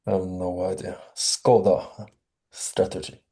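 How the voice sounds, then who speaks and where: A man's voice: low-pitched, level tone, a little slow, warm tone. A man answering casually in short phrases as a quiz contestant.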